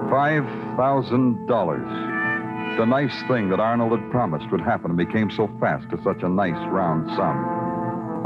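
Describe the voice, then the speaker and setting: A man playing a low-voiced hard-boiled radio detective. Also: close to an everyday voice. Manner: deadpan, clipped, world-weary wisecracks. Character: hard-boiled, sardonic New York night-shift police detective. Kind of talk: 1950s radio crime drama, first-person narration and dialogue. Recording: muffled old radio recording. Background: music.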